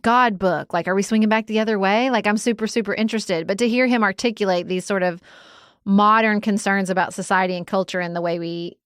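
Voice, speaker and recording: A woman co-hosting a podcast, talking through a book in an animated, opinionated, conversational way. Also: mid-range voice, fairly quick, smooth, no room sound, clean studio sound. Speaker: podcast co-host. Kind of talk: casual book discussion.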